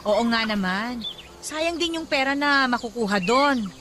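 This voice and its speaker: A woman's voice: fairly high pitch, animated, unhurried, background noise. An actress playing a practical, scolding mother of grown children in a radio drama. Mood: concerned.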